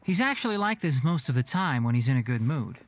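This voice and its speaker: An actor playing a studious young man who reasons out loud in a measured, analytical way in a game. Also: medium pitch, very animated, lightly acted. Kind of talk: game voice acting.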